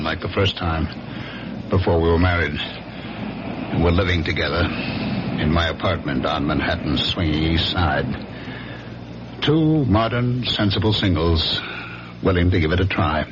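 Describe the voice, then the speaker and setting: An actor playing a brooding, jealous husband, narrating his memories in a measured, dramatic radio drama style. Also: deep voice, noisy background. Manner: measured, dramatic, ruminative delivery. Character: brooding jealous husband, a weary businessman recalling his past. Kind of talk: radio drama first-person narration and acting. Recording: dull vintage radio recording, music behind. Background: dramatic music.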